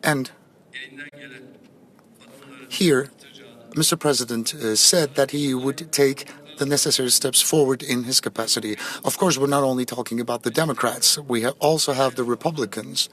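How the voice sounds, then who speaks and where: A man's voice: mid-range voice, steady tone, a bit bright. Man interpreting at a press conference. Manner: measured, with frequent pauses and fillers. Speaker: interpreter voicing a president's answers. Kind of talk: simultaneous interpretation.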